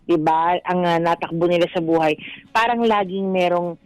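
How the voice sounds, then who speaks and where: A woman's voice: lowish voice, normal speed, clear, resonant tone, dry recording, rough recording. A woman with a Filipino accent co-hosting a radio show, chatting reflectively in a mix of Tagalog and English. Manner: code-switches between Tagalog and English. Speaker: radio co-host. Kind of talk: casual radio chat with reflective commentary. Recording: dry studio voice over soft music.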